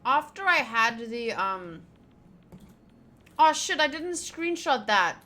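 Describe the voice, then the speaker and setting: A woman's voice: on the high side, big pitch swings, slow, sharp tone. A young woman streaming a visual novel, chatting casually in a playful, sweary, slangy way. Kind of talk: casual gameplay chat, reading game lines aloud.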